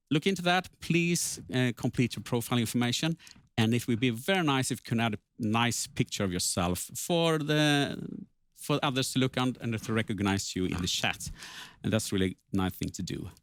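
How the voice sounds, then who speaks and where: A man's voice: mid-range voice, no room sound. A man moderating a live online event and explaining things informally to viewers.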